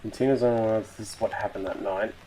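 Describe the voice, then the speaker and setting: A man's voice: lowish voice, steady tone, brisk, mellow, slight background noise, low-quality recording. A man doing a casual video reaction, reading captions aloud and muttering sweary asides. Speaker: YouTube reaction host.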